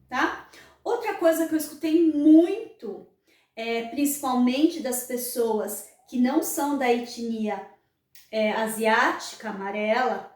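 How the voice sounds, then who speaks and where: Brazilian woman vlogger, high voice, earnest teaching tone, distant mic with room echo. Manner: frequent tag questions, earnest and didactic. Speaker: channel host. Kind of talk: explanatory vlog talk.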